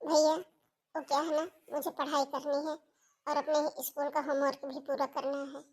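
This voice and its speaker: A woman's voice: high-pitched, flat delivery, normal speed, lightly acted. A woman voicing a tearful, earnest young schoolgirl in a cartoon family melodrama, pleading plaintively. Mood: hurt.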